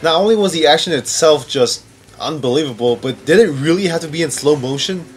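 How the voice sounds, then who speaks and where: A man's voice: on the high side, animated, a little fast. A man giving informal, sarcastic countdown commentary on video game scenes, often incredulous and swearing. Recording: music playing behind the voice. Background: background music.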